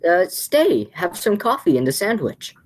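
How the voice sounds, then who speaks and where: A woman playing a husky, flustered, scheming brother. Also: fairly low pitch, sing-song, unhurried. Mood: flustered, insistent. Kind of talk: radio play acting, high school theatre.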